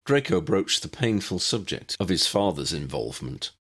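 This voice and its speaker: A man's voice: deep voice, sing-song, normal speed, fairly bright tone, breathy edge. A man narrating a fantasy story as an audiobook, reading scripted prose expressively.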